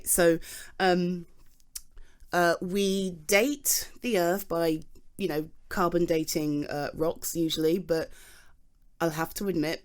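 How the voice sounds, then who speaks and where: A woman's voice: lowish voice, a little mellow. A British woman playing a chatty, flustered geology nerd in an intimate audio roleplay, rambling fondly and animatedly as she explains things to someone close. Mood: thoughtful. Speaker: ASMR roleplay voice performer.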